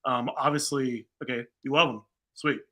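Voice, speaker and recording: A man's voice: mid-range voice, steady tone, moderate pace, sharp tone. A man co-hosting a casual fan talk show, speaking in an informal, conversational and noncommittal way. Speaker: co-host of a video game fan show. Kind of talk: casual conversational film discussion.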